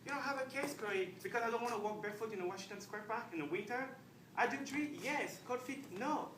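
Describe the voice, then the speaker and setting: A man's voice: very high voice, fast. A man playing an exasperated, sarcastic young lawyer husband quarrelling with his wife in a stage comedy-drama. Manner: sardonic, argumentative. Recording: distant, echoey room with background noise.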